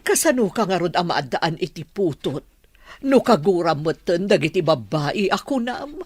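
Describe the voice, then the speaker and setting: A woman's voice: fairly low pitch, big pitch swings, normal speed, breathy edge, no room sound, heavily acted. A woman playing an older mother who longs for a grandchild, insistent and plaintive, in a radio-style drama. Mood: worried, questioning.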